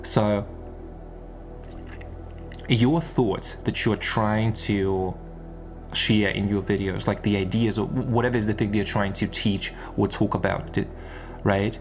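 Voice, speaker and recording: A man's voice: fairly low pitch, fairly even intonation, a little slow, pauses often, faint music behind. A man giving a casual explanatory monologue like an online coach, repeating points for emphasis and often checking for agreement. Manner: emphatic and repetitive, often seeking agreement with tag questions. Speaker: YouTube business and spirituality coach.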